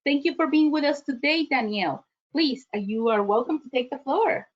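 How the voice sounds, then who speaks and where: A woman's voice: high-pitched, animated, normal speed. A woman hosting a virtual event, thanking and formally introducing speakers over an online call. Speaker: event host. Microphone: online video call. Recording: slightly dull online call audio.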